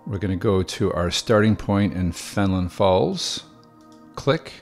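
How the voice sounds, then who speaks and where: A man calmly explaining software steps one by one in a screen-recorded tutorial, with the odd filler. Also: sits low. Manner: relaxed step-by-step explaining with fillers. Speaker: video tutorial host.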